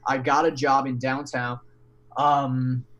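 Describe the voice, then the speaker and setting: A man, an English speaker, telling his story as a podcast guest in an animated, candid way with frequent restarts and casual swearing. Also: mid-range voice, slow pace, highs cut off, slight background noise. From Taiwan.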